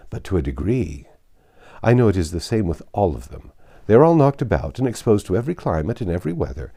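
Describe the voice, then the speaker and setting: A vain, haughty old baronet from a classic storybook, acted out by a male reader. He speaks with pompous disdain and emphatic, highly varied intonation. The tone is soft-edged rather than sharp. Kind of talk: theatrical audiobook character reading.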